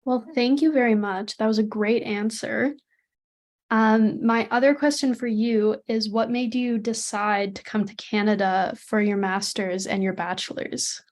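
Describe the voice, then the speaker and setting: A woman's voice: on the high side, fairly even intonation, unhurried. A young woman co-hosting, politely reading out interview questions and thanking the guest. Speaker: co-host asking questions.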